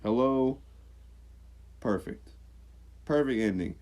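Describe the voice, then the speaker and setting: A man co-hosting a podcast, chatting casually, swearing freely and jumping into squeaky mock voices. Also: takes it slowly, darkish tone. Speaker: podcast co-host. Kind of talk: casual chat.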